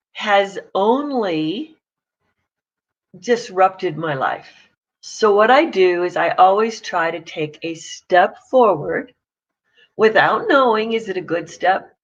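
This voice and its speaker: A woman's voice: medium pitch, lo-fi sound. A woman coach giving a casual, plain-spoken morning talk to camera. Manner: chatty, pragmatic, plain-spoken.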